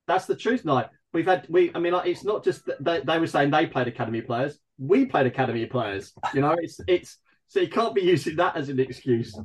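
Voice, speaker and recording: A man's voice: on the high side, animated, fairly quick, slightly rough. Gushing man talking football. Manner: effusive, gushing with praise. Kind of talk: casual football chat.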